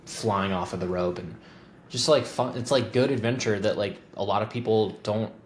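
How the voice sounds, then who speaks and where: A man's voice: fairly low pitch, moderate pace. A man in his thirties hosting a podcast, asking rambling, easygoing questions with fillers. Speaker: podcast host. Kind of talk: casual conversation. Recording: roomy with faint background noise.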